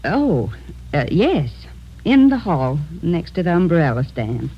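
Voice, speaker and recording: Chatty nosy neighbor lady, super effusive and affected, animated pitch, lofi 40s radio drama. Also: slow pace, slight background noise, full character voice. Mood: fussy, hospitable. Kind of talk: 1940s radio drama acting. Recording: dull, lo-fi old radio recording.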